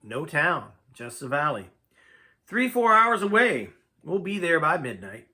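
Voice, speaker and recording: A man reading the storybook part of a steady, plainspoken mountain guide in an understated, folksy way. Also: a little high, measured pace, heavily acted. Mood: firm, plain.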